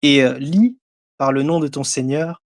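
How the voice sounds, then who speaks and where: A man's voice: medium pitch, unhurried. A man giving a debate rebuttal, speaking hesitantly with frequent fillers and reciting verses in between.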